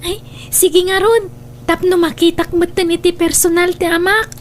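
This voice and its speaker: A woman's voice: extremely high pitch, fairly even intonation, normal speed. A woman playing a sweet young daughter who longs for her father, in an Ilocano-accented radio drama. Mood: curious. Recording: music bed under the voice.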